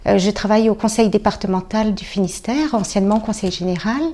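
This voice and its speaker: A woman's voice: medium pitch, steady tone, fairly quick, mellow. A woman in her forties from Brittany introducing herself simply and plainly, with small fillers. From Pays Bigouden, Brittany. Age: 40s. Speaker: local government employee, lay associate. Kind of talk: recorded personal testimony.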